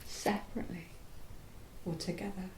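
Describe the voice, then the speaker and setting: A woman teaching yoga nidra, leading a guided relaxation in a soft, soothing, unhurried voice that turns breathy at phrase ends and pauses mid-phrase. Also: steady tone. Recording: roomy echo, faint low rumbling noise.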